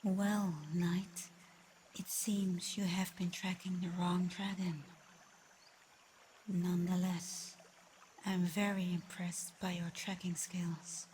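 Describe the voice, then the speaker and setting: A woman playing a proud, reclusive female dragon in an ASMR roleplay, menacing yet curious, speaking in a haughty, deliberate, mocking way. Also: lowish voice, level tone, a little mellow, slight background noise. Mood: calm, grudgingly impressed.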